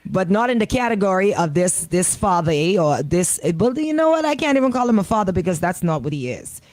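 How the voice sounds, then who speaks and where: A woman's voice: mid-range voice, very animated, a little fast, a little mellow, no echo. A woman with a Caribbean accent co-hosting a casual radio discussion in a blunt, sardonic manner. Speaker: radio host.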